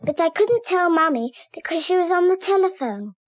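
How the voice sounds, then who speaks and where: A woman's voice: extremely high pitch, lively intonation, moderate pace, no room sound. A woman voicing an earnest young child who narrates a scripted safety cartoon. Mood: earnest, simple.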